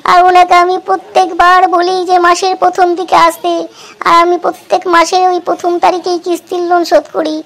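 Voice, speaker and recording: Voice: very high voice, monotone, brisk, vocal fry, a bit bright, heavily acted. A performer voicing a crafty, demanding village housewife in a comedy cartoon, wheedling and self-righteous. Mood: aggrieved. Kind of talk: amateur comedy cartoon dub.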